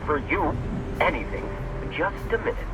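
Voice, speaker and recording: A man voicing a nervous, stammering rabbit in a noir game, eager to please and halting in speech. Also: fairly high pitch, lively intonation, natural voice. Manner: jittery, servile, halting. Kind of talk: cartoonish noir game acting. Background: music.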